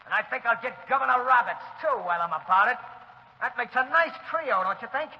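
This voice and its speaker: An actor playing a vengeful gangster who returns as a ghost, with a tough street drawl, in 1930s radio drama style. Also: male voice, very high voice, expressive, a little fast, vocal fry, heavily acted. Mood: defiant threatening. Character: menacing vengeful gangster ghost, male adult. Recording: dull old radio recording with long reverb.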